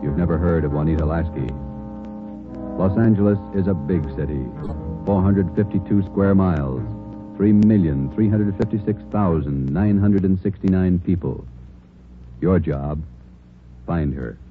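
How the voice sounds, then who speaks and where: A man's voice: low-pitched, measured pace. A man announcing a 1940s radio drama in a solemn, terse, portentous style. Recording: tinny, noisy old radio recording. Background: dramatic brass music.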